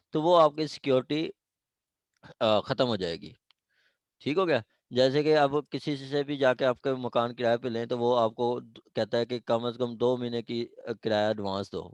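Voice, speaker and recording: A man with a Pakistani accent teaches law in an informal classroom style. His voice has a middling pitch and is recorded very dry. He explains with examples, slips into English legal terms and often checks that listeners follow. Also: no echo. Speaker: law lecturer.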